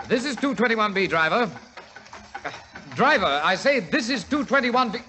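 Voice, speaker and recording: An actor playing a blustering, flustered middle-aged English doctor in a 1940s radio drama, with a British accent. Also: male voice, high voice, sing-song, moderate pace, bright, forceful tone, full character voice. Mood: indignant, flustered. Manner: blustery, indignant, stammering. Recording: old radio recording with faint hiss.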